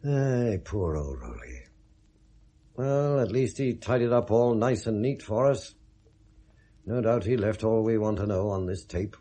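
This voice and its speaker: An actor playing a world-weary, compassionate senior policeman in a radio drama, speaking in a measured, ruminative way. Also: medium pitch, mellow. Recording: vintage 1970s radio recording.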